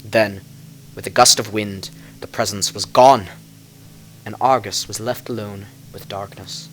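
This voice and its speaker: A man reading a dark tale as a dramatic narrator, with theatrical, deliberate emphasis and music behind him. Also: lowish voice, steady tone, poor audio. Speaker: narrator reading lore books.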